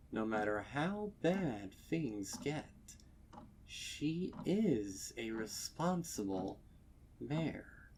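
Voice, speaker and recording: A man narrating a dark story with intense, theatrical delivery and deliberate phrasing. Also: fairly low pitch, a little hiss or hum. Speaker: fan fiction narrator. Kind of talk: dramatic scripted horror story narration.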